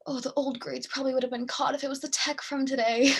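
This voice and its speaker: A woman's voice: high-pitched, flat delivery, quick pace, breathy edge. A young woman acting on stage as a morbidly curious girl on a date, speaking casually and a little hesitantly. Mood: curious, chatty. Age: young adult. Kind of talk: student stage acting, naturalistic dialogue.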